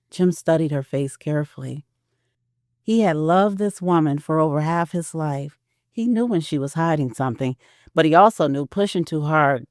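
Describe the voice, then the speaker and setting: A narrator reading a scripted audio drama in an expressive, measured storytelling way. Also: mid-range voice, no room sound, studio quality.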